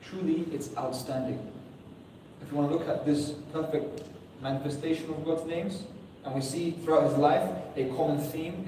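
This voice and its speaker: A man's voice: on the high side, dark tone, a little hiss or hum. Man preaching in an echoey hall. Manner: earnest, rhetorical questions, quotes Arabic verses. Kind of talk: sermon. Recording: echoey hall, distant mic.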